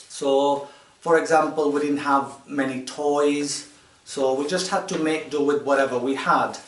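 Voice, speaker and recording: A man in his fifties from Tanzania, speaking to a group of children in a measured, explanatory way with pauses and fillers. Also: on the high side, a bit bright. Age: 50s. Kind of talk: informal talk to children. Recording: echoey room, distant mic.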